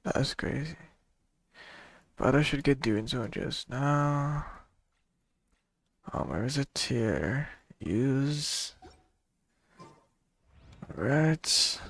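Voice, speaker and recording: A man's voice: medium pitch, very slow, vocal fry, long pauses, no room sound. A young man giving casual, slangy gameplay commentary in a laid-back way. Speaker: game streamer.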